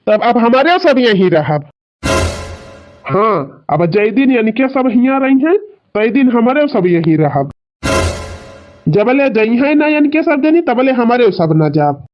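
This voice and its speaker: A man's voice: extremely high pitch, expressive, slow, faint music behind, full character voice. A man playing a complaining young village farmer and son-in-law in a cartoon comedy, with an Awadhi accent and an insistent, plaintive manner. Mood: stubborn. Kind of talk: rural cartoon comedy dialogue. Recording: dull lo-fi sound.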